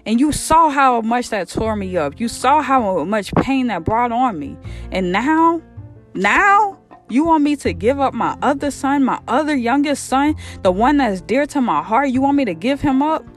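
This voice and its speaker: A woman's voice: mid-range voice, lively intonation, moderate pace, soft background music, lightly acted. A woman voicing an exasperated, grieving old father who pleads and scolds his sons in colloquial speech. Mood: anguished, incredulous.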